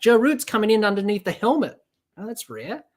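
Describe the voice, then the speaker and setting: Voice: mid-range voice, big pitch swings, brisk, darkish tone. Chatty young Aussie podcast commentator. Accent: Australian. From Australia. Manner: chatty, rambling, reads out viewer comments. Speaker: podcast host. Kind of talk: casual live-stream cricket commentary.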